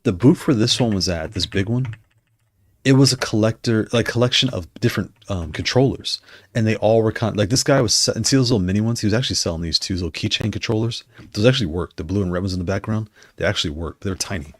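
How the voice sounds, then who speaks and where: A man with a very low voice talks loosely on a livestream, starting sentences over and wandering between points. His voice is wearing hoarse, and the sound is close and dry with no room around it. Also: fast, dry recording. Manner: rambling, self-correcting, conversational. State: losing his voice. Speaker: streamer discussing controllers. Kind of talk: casual livestream chat.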